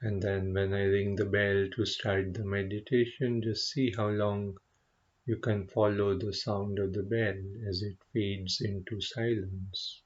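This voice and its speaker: A man leading a guided meditation as a teacher, speaking gently and contemplatively, with unhurried pauses between short phrases. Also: fairly low pitch, level tone, highs cut off. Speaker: meditation teacher, Buddhist monk.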